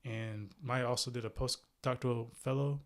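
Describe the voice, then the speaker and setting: A man's voice: mid-range voice, fairly even intonation, normal speed. Friendly male podcast host. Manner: friendly, fills gaps with um and okay. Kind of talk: podcast interview.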